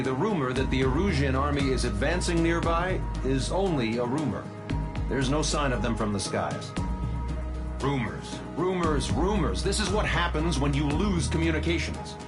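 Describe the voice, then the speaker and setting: An actor playing a reflective, uneasy soldier, narrating a video game cutscene in a measured, musing way. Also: male voice, mid-range voice, close to an everyday voice. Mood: wry, musing. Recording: loud music under the voice.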